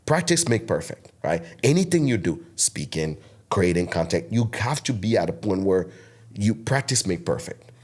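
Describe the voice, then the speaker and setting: A man's voice: fairly low pitch, sing-song, moderate pace, darkish tone. A man in his thirties, raised in Togo with French as his first language, speaking with a French West African accent, preaching emphatically as a podcast guest. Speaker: guest content creator. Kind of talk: podcast interview.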